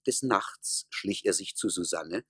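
A man's voice: low voice, a little slow, slightly rough, dry recording, clean studio sound, exaggerated voice. A man narrating a satirical folk tale as the storyteller in a scripted radio play.

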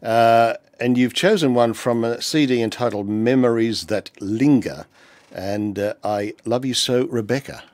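A man's voice: medium pitch, measured pace, darkish tone, slight background noise. A man, speaking conversationally as the interviewer on a music programme. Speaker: radio interviewer.

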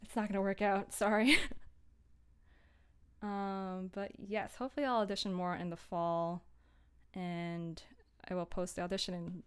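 A woman's voice: mid-range voice, steady tone, unhurried, pauses often, smooth. A young woman chatting casually on a livestream and answering viewers in a scattered, self-interrupting, informal way. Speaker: audio drama producer and livestreamer.

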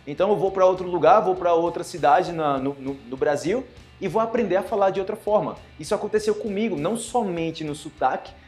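A young man from Northeast Brazil with a Northeastern Brazilian accent, giving an animated Portuguese lesson to camera and acting out little dialogues. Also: high voice, a little fast, faint music behind. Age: young adult. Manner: animated, gestures a lot, acts out dialogues. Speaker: Portuguese teacher. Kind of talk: online language lesson to camera. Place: small indoor room with plain wall.

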